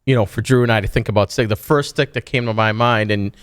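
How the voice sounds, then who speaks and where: A man's voice: fairly low pitch, steady tone, quick pace, fairly bright tone, a little hiss or hum. A man co-hosting a podcast in casual chat, asking follow-up questions and often checking in with "right?". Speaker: podcast co-host.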